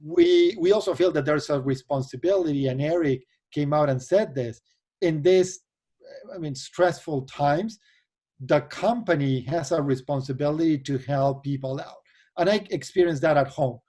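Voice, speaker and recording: Argentine-accented man, measured business talk. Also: a little high, warm tone. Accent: Argentine Spanish. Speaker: venture investor guest. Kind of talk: remote business interview. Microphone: video call.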